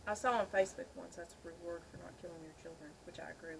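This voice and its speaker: A woman's voice: fairly low pitch, fairly even intonation, fast talker, vocal fry, poor audio. Middle-aged woman chatting, background noise. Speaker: video host. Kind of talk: casual chat.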